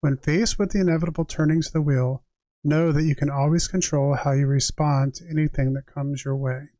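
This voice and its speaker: A man with a moderately high voice, recorded very dry without room sound. He works as a tarot reader on a livestream, shifting between reading passages aloud and casual, reflective chat addressed straight to his viewers. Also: steady tone, brisk, warm tone, dry recording. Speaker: tarot reader and live streamer.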